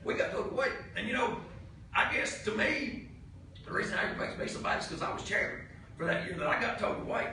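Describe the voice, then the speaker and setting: Fed up southern guy arguing at a county meeting, gravelly and bright, kinda far from the mic. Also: high voice, fast talker. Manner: exasperated, blunt, folksy, repeats points emphatically. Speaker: county commissioner. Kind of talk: heated speech at a public meeting. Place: indoor public meeting room. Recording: noisy, very echoey meeting room, distant mic.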